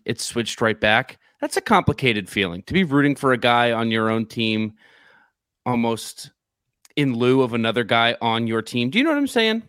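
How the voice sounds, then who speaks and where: A man co-hosts a sports podcast in relaxed conversational language. His voice sits a little low, and the recording is dry and close, with hardly any room sound. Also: clean tone, dry recording. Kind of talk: casual sports chat.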